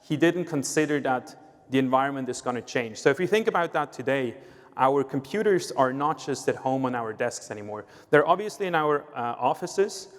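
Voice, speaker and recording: A man from Switzerland talks with a Swiss accent at a middle pitch. He explains step by step as a guest on a panel and often checks in with "right?". A long hall reverb trails his words. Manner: explanatory, uses filler "uh" and "right?". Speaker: sales executive, guest speaker. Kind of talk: conference panel talk.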